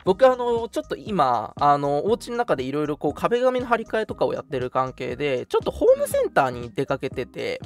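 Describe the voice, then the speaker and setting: Animated, quick-talking man telling stories. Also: fairly high pitch. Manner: animated storytelling with vivid sound effects and acted quotes. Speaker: radio show host. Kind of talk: casual chat, telling anecdotes.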